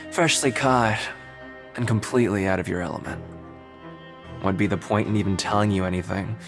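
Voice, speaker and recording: A man's voice: on the low side, lightly acted. A man voicing a sly, streetwise prisoner in a video game, teasing and matey as he hints at secrets. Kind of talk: video game cutscene voice acting.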